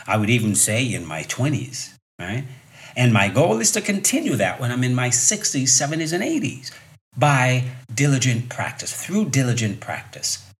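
Guy in his 50s doing a fitness coaching podcast, really lively pitch swings, emphatic, asks questions as he goes. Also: moderate pace. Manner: conversational and emphatic, asking rhetorical questions and repeating key points. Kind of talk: solo motivational podcast talk.

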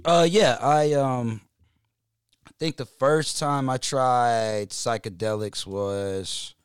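A man from North Carolina speaking as a podcast guest, halting with lots of ums and restarts. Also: medium pitch, clean tone, no echo, clean studio sound. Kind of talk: casual interview conversation.

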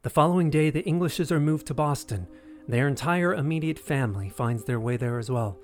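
A man's voice: a little high, fairly quick, faint music behind. A man giving scripted, dramatic narration of history for an audio drama.

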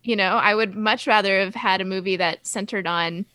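A woman's voice: mid-range voice, fairly even intonation, normal speed, bright, forceful tone, dry recording. A woman hosting a casual film discussion, speaking in an informal, conversational way. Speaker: podcast host.

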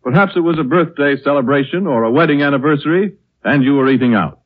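A man's voice: on the high side, quick pace. An American man announcing a sponsor's script in a polished, persuasive 1930s radio style. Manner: polished, persuasive sales delivery. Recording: tinny 1930s radio recording.

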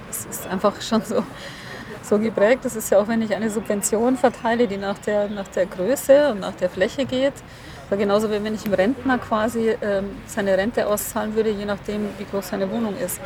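A woman's voice: mid-range voice, steady tone, moderate pace, crowd noise. A woman giving an earnest, spontaneous interview as an expert, plain-spoken and emphatic. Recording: outdoor traffic noise.

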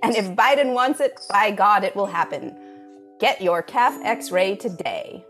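A woman improvising a parody radio commercial, talking as a mock-earnest expert who pitches a product. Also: expressive, measured pace, a bit bright, faint music behind. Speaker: improv comedy performer.

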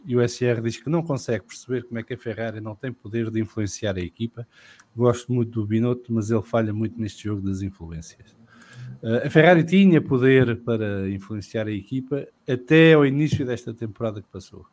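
Man, podcast host, brisk and matter-of-fact, mid-pitched voice. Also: darkish tone. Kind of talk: casual podcast chat, reading listener messages.

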